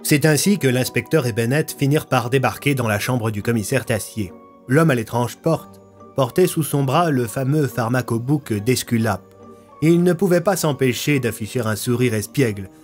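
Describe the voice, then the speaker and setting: A man reading scripted story narration as a storyteller. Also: medium pitch.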